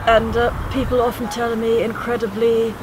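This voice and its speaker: High, flat-voiced man from Trinidad. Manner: measured, thoughtful, erudite. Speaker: poet. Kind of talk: reflective conversation. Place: outdoors by a city waterfront with traffic. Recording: outdoor recording with traffic noise.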